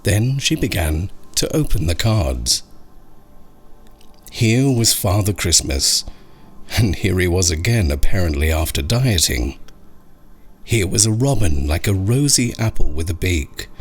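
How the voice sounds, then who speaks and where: A male narrator reads a horror story in a deep, husky voice. His pacing is unhurried and his intonation is lively and dramatic. Music plays beneath the reading. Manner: slow, expressive, dramatic storytelling. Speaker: narrator of horror short stories. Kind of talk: scripted audiobook narration of a scary story. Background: music under the voice.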